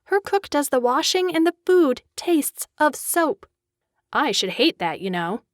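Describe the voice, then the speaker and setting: An elegant young society lady for an audiobook drama, with a slightly high, expressive voice. She is witty and coquettish, with a streak of discontent, captured close and dry. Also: a little slow, no room sound. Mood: disdainful.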